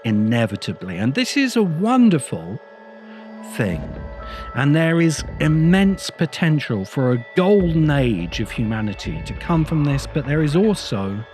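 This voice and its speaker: A man hosting a podcast, delivering a reflective monologue in a grave, deliberate way with emphatic phrasing. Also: on the high side, sing-song, darkish tone, soft background music. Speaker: podcast host. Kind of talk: reflective monologue, video essay.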